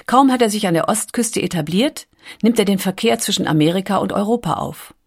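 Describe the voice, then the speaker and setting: A woman's voice: medium pitch, lively intonation, a little fast, breathy edge. A woman delivering scripted narration as a documentary narrator.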